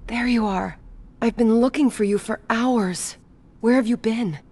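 A woman's voice: fairly high pitch, a little slow, darkish tone. A woman playing an anxious, caring friend in a video game. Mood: worried, warm.

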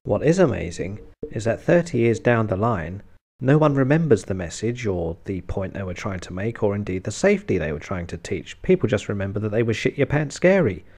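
A British man giving a scripted review narration in a deadpan, sardonic, sweary manner. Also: lowish voice, a little fast. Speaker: film reviewer.

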